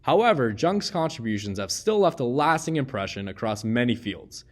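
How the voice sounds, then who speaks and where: Man, narrator, medium pitch, animated intonation, scripted explainer, measured delivery.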